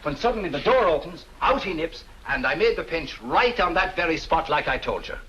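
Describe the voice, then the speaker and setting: An actor playing a defensive but deferential museum guard in a drama, with a British working-class accent and an excitable, colloquial way of protesting. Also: male voice, on the high side, fairly quick, muffled recording, slight background noise. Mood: eager explaining.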